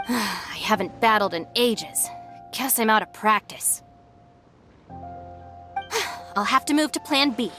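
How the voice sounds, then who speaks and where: An actress playing a sensible, exasperated young gang deputy in an anime game, stern and practical. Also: female voice, a little high, animated, slow, pauses often, music in the background. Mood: resigned, stern. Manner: stern, practical, sighing, no-nonsense.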